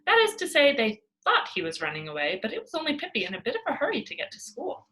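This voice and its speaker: A woman narrates a children's storybook in a high, slightly breathy voice. Her reading is expressive, with very animated rises and falls in pitch. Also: fast talker. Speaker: storybook reader.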